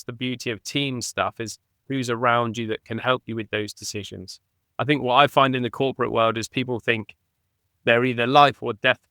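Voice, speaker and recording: A man who played rugby, a podcast guest reflecting conversationally and thinking aloud with restarts, getting animated on key points. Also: mid-range voice, clean tone, no echo, clean studio sound. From England.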